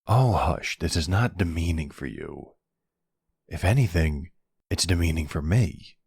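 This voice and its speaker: A flirty male vampire, acted by a man in an intimate ASMR roleplay, very low and husky. He teases with a cocky, sardonic drawl, taking his time and swinging expressively through his lines. The sound is close and dry. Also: lively intonation, measured pace, darkish tone, no echo, exaggerated voice. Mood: mocking, flirtatious. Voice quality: gravelly, husky.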